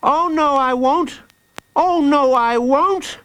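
High-pitched, defiant little boy. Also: slow, a bit bright, full character voice. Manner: earnest, defiant, repeats himself. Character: small, bold, stubborn young boy prisoner.

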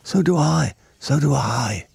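A man voicing eager, excitable young children in a storybook. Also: a little high, unhurried, vocal fry, husky, no room sound, pitched above the performer's own voice, exaggerated voice.

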